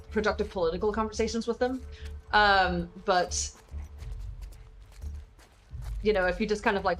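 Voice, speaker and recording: A woman's voice: on the low side, a little hiss or hum, poor audio. A young woman from Northern Ireland with a mixed Northern Irish and American accent, chatting casually with viewers while she plays a game. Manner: chatty and rambling, many fillers, swears casually. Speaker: streamer.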